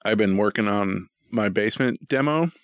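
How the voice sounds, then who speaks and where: Middle aged american guy on a podcast, lowish voice, rambly and self-deprecating, really dry sound. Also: normal speed, dry recording. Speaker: podcast co-host. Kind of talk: casual chat.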